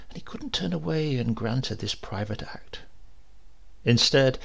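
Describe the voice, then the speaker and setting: Very gravelly man reading a story. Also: on the low side, fairly bright tone. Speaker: story reader. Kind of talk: scripted literary narration of a supernatural tale.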